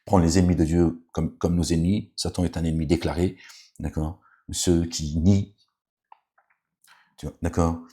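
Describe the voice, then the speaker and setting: A man with an extremely low, deep voice preaches in an offhand, rambling way. He keeps breaking off with fillers and asides to the people listening. Between readings he admonishes them with stern warnings. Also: steady tone, moderate pace, pauses often, mellow. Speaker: religious commentator reading scripture. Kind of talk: informal spoken sermon with scripture reading.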